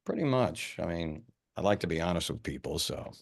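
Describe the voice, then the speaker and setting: Male detective, read by an actor: very low creaky voice, blunt, suspicious, halting delivery, indie film drama. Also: expressive, full character voice. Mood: blunt, dry. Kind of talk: horror film script table read.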